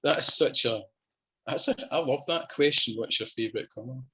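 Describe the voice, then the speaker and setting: A man's voice: medium pitch, moderate pace, pushed and bright, breathy edge, rough recording. A man with a Scottish accent, chatting easily as the guest in a video-call interview and often repeating his phrases. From Scotland. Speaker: musician guest. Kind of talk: relaxed interview chat.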